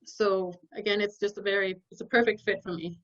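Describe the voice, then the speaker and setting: Woman from Denmark, mid pitch, relaxed consultant talking on a muffled video call. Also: a little fast. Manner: relaxed, conversational, frequent fillers.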